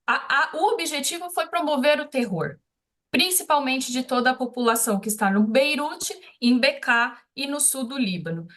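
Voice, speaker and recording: Higher-pitched Brazilian woman, measured explainer. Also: expressive, a bit bright. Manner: measured, explanatory, frequent tag questions. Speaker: expert guest, international politics researcher. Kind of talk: live news interview analysis.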